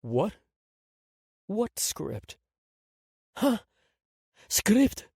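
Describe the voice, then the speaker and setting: A man's voice: high voice, very animated, extremely slow, gravelly, darkish tone, breathy edge, no room sound, studio quality, pitched above the performer's own voice, exaggerated voice. A man voicing a poisoned, terrified young woman who pleads and whispers in fear. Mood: desperate, confused.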